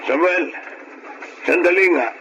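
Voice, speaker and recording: A man's voice: high voice, a little slow, pushed and bright, a little hiss or hum, rough recording. An actor playing a bitter, scolding middle-aged father in a radio drama. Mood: confrontational. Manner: scolding, confrontational. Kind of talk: Filipino radio drama acting.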